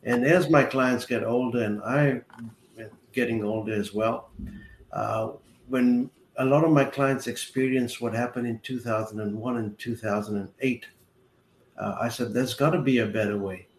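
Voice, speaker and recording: A man, his voice gravelly, lays out finances in a measured, methodical way. The call audio is roomy, with a faint hiss behind it. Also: medium pitch, fairly even intonation. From South Africa. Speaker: accountant and retirement adviser guest. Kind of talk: explanatory interview answers.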